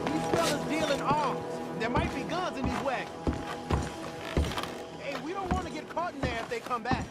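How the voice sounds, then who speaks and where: An actor playing an eager, cocky young Wild West outlaw with an American accent in a video game, talking in folksy, wry slang. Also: male voice, extremely high pitch, moderate pace, loud music behind the voice. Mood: urgent.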